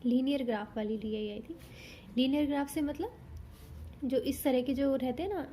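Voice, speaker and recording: High-voiced young woman, slightly hoarse. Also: warm tone, a little hiss or hum. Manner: chatty, explanatory, frequent self-corrections. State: sore throat, unwell. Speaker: exam candidate reviewing her paper. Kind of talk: casual vlog talk.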